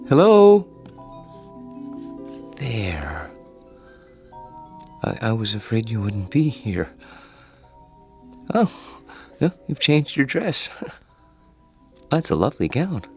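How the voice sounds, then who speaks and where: An actor in a radio drama playing a timid, lonely grown son who speaks politely, hesitantly and with a stammer. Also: male voice, medium pitch, darkish tone, exaggerated voice.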